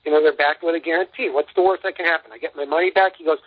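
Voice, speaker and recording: A man giving an emphatic, boastful sales pitch as a guest in a radio interview, telling anecdotes as he goes. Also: fairly even intonation, rapid-fire. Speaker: interview guest, company founder and CEO. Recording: muffled lo-fi phone-like radio line.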